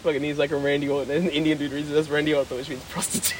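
A man's voice: on the high side, flat delivery, very fast, background noise. A young man hosting a casual podcast chat, crude and joking, laughing a lot. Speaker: podcast host.

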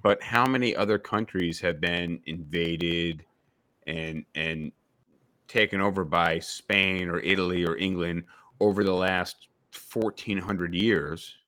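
A man hosting a casual discussion, a few drinks in, talking haltingly with many restarts and fillers. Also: low-pitched, steady tone, pushed and bright, dry recording. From New York State, USA. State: several drinks in.

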